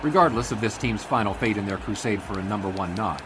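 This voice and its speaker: An actor playing a florid 1920s sportswriter in an audio drama, reporting on a football game in formal, old-time style. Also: male voice, fairly low pitch, fast talker, music in the background, lightly acted. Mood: grandiose.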